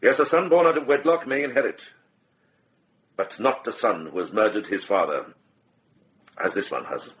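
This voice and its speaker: An actor playing a shrewd, compassionate middle-aged monk in a radio drama, speaking with grave, measured deliberation. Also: male voice, on the low side, expressive, a bit bright, poor audio. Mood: grave, accusing.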